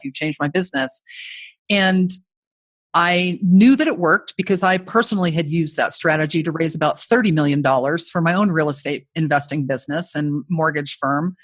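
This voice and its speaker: Forties woman, podcast guest; warm-toned radio voice, conversational and self-deprecating, dry booth sound. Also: deep voice, no room sound. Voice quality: voice people call made for radio. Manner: chatty, self-deprecating, frequent "you know". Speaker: guest, course creator. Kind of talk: casual podcast interview.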